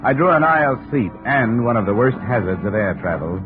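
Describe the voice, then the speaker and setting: An actor playing a hard-boiled American insurance investigator in 1950s radio style, clipped, dry and matter-of-fact. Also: male voice, mid-range voice, animated, a little fast, music in the background, close to an everyday voice. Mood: dry, businesslike. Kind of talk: 1950s radio detective acting and narration. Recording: tinny, narrow-band vintage radio recording.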